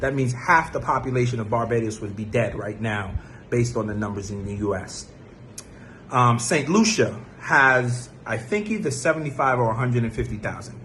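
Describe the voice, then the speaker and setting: A man's voice: mid-range voice, normal speed, a bit bright, a little hiss or hum. A man from Jamaica who grew up in the United States, giving an explanatory personal talk in an emphatic way. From born in Jamaica, grew up in the United States. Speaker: vlogger sharing a travel experience.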